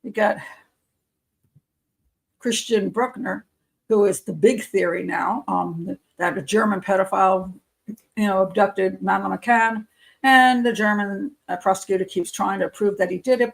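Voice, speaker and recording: A woman who works as a criminal profiler hosts a casual live members' show, rambling and chatty, losing her voice and clearing her throat. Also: medium pitch, normal speed. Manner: chatty and rambling, with frequent fillers and soft laughs. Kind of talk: casual live-stream chat.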